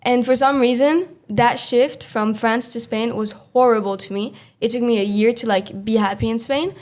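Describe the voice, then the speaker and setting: A woman hosting a podcast interview casually, encouraging her guests with soft listening sounds. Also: on the high side, normal speed. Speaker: podcast host.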